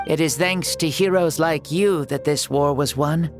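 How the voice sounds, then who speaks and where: A man acts out an ancient hero and leader of his people in a fantasy video game. He speaks with noble formality and measured, reverent weight, yet keeps a youthful spirit. Music plays behind him. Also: on the high side, lightly acted. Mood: solemn, admiring. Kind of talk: dramatic video game voice acting.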